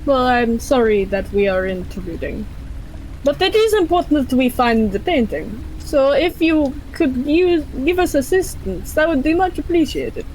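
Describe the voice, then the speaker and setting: A woman plays an earnest adventurer in a tabletop fantasy game, courteous and a bit hesitant in phrasing. Her pitch is on the high side. A little background noise sits under the voice. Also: animated, measured pace, a little mellow, poor audio. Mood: polite, earnest.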